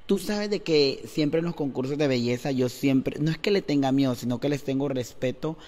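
A man in his thirties interviewing a guest in a chatty, playful, excitable way, holding a handheld mic in a living room. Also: medium pitch, normal speed. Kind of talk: casual interview. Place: indoor living room on a couch. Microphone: handheld wired mic.

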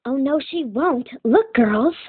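A woman's voice: high voice, expressive, slow, husky, exaggerated voice. A woman voicing a proud, plain-spoken young cowgirl pony in a cartoon fandub, with a folksy, earnest manner. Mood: determined. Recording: tinny screen playback filmed by camera.

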